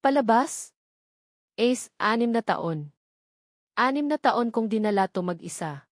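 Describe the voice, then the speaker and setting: A woman's voice: fairly high pitch, animated, a little slow, dry recording. A resolute, bitter young single mother played by a woman storyteller in a romantic drama. She speaks firmly and pointedly, challenging her former lover. The recording is dry. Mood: bitter, indignant. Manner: firm, emphatic, challenging.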